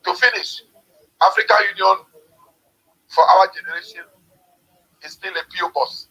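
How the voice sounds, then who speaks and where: A man's voice: high voice, normal speed, gravelly, pushed and bright, slightly rough, highs cut off. A man speaking at a Pan-African forum, giving a hesitant speech full of fillers, heard over a call.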